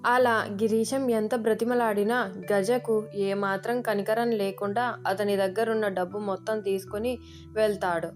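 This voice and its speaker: A woman's voice: mid-range voice, fairly even intonation, measured pace, faint music behind. A woman giving scripted narration for a moral story.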